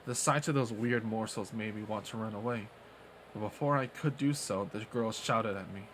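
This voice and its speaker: A man streaming, reading a visual novel aloud in a steady way with small slips. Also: lowish voice, normal speed, background noise.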